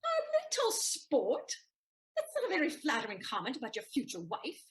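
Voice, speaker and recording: Woman voicing a clever teasing young maid, high bright pitch, very lively intonation. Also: moderate pace. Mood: knowing. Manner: teasing, sharp-witted, mocking. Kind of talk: stage audio play acting.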